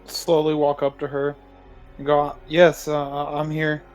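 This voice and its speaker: Male player, fairly high voice, casual gaming chat, faint music in the background. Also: monotone, a little slow. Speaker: player in a tabletop game.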